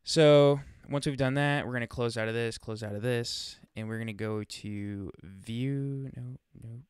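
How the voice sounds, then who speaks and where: A man presenting a casual software walkthrough, chatting informally and thinking aloud as he demonstrates, speaking into a close USB microphone. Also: mid-range voice, measured pace, clear, resonant tone, no room sound. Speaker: tutorial presenter.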